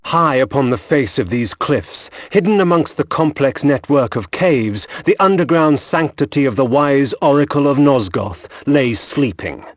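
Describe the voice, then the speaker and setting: An actor playing a brooding, aristocratic vampire who narrates with solemn, archaic, theatrical diction. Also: male voice, medium pitch, lively intonation, unhurried, hardly any pauses, close to an everyday voice. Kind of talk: scripted gothic game narration.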